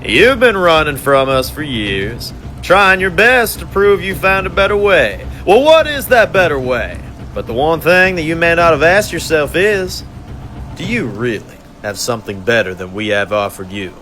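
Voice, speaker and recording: A man's voice: on the high side, big pitch swings, pushed and bright, slight background noise, pitched above the performer's own voice. A man voicing a sadistic male villain who mocks and gloats while interrogating a prisoner. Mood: taunting. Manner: taunting, drawn-out mocking delivery.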